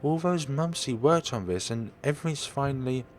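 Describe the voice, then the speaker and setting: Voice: medium pitch, expressive, normal speed, slight background noise, pitched above the performer's own voice, natural voice. A man voicing a chatty, earnest young woman in a visual novel, babbling eagerly and hesitantly. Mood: enthusiastic.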